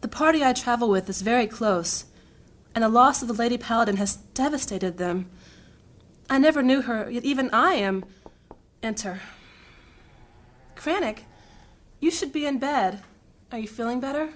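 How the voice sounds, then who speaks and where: A woman playing a guarded, grave noble mage in a fantasy audio drama, speaking formally and deliberately. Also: fairly high pitch, lively intonation, lightly acted. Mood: brooding, then composed, firm.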